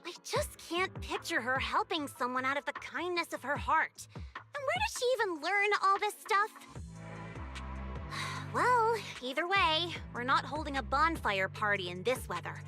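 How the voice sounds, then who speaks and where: A woman's voice: high voice, animated, unhurried, music in the background. An actress voicing a feisty, haughty, hot-tempered young woman in an anime video game, sassy, blunt and grudging.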